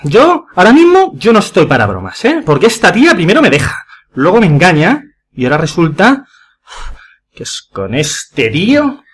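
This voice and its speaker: A man's voice: high-pitched, huge pitch swings, normal speed, slightly rough, heavily acted. A man from Spain acting an exasperated, bewildered betrayed lover in a radio farce, agitated and colloquial, with a Spanish accent. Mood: aggrieved. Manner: agitated, colloquial, repeating himself. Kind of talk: radio play comedy acting.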